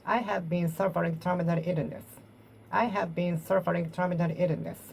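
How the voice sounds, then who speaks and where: Low-voiced Japanese woman drilling English phrases. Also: steady tone, creaky, darkish tone, a little hiss or hum, rough recording. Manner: repeats and reworks phrases, pausing and self-correcting. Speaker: English learner. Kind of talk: solo speaking practice, talking to herself.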